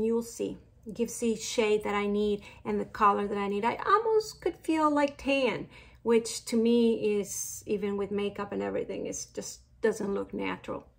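A woman's voice: a little high, gravelly. A middle-aged woman from Mexico with a Mexican accent, chatting casually as she reviews makeup products. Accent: Mexican Spanish. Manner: chatty, slow and unhurried with fillers and trailing asides. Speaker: beauty vlogger.

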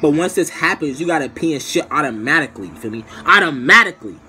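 A young man telling a casual, comedic story to camera in an animated, slangy way, acting out the scenes, recorded in a small room at arm's length. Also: a little high, fast. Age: young adult. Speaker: storytime vlogger.

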